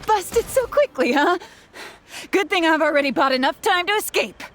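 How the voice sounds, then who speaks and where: A woman voicing a haughty, scheming villainess in a video game, dramatic and forceful. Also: very high voice, expressive, moderate pace, soft background music. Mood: smug, taunting.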